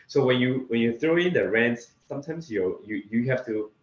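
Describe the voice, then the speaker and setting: A man from Singapore with a Singaporean accent, talking casually as an interview guest in a halting way, often repeating words. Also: medium pitch, animated. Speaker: interview guest, real estate investor. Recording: echoey room, slightly dull sound.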